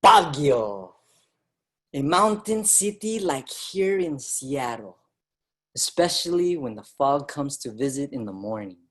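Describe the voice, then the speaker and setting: A woman's voice: rumbling low, lively intonation, slow pace, full character voice. A woman playing an earnest young Filipino immigrant writer who recalls his boyhood in a dramatic staged reading. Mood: reflective. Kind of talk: staged reading, storytelling narration and dialogue.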